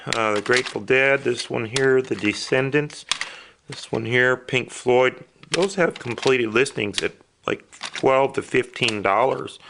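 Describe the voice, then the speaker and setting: Slow-talking man with a bright, forceful voice. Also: medium pitch, gravelly. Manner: plainspoken, matter-of-fact item listing with pauses. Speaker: vlogger showing storage auction finds. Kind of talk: casual unscripted narration to camera.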